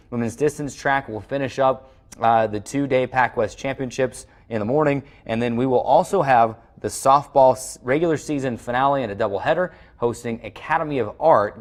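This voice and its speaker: Guy hosting a college sports recap, steady, mid-pitch, reading the schedule. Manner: steady, informative, occasional uh fillers. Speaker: sports show host.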